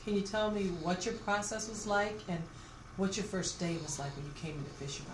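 A woman's voice: mid-range voice, fairly quick. Woman interviewer in an echoey, noisy room. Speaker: oral history interviewer. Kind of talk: recorded oral history interview. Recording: echoey room, clear background noise.

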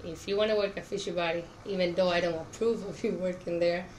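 A woman's voice: on the low side, steady tone, fast, a little mellow. Middle-aged woman, noisy recording. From Cuba. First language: Spanish. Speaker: interviewee, auto plant worker. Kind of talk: answering oral history interview questions. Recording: clear background noise.

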